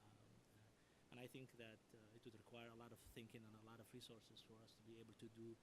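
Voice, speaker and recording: Man with measured speech. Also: on the low side, monotone, background noise. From Somalia. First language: Somali. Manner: measured, explanatory, with frequent fillers. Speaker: education department official on a panel. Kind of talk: panel discussion.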